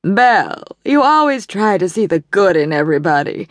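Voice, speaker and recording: A woman voicing a prim, judgmental middle-aged society lady with a slow, disapproving Boston drawl. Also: mid-range voice, very animated, dry recording, clean studio sound, lightly acted.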